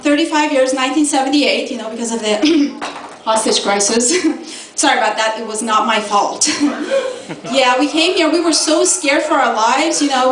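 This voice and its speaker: A middle-aged woman from Iran doing a stand-up comedy set, sassy and laughing at her own jokes. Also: high voice. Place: comedy club stage. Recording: echoey club from far off. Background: audience laughter.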